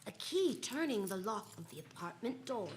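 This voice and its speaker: A woman's voice: medium pitch, very animated, moderate pace, slight background noise, close to an everyday voice. A woman playing a confident, wry female private detective who narrates and acts in old-time radio style. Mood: storytelling. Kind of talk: 1940s radio detective drama, first-person narration.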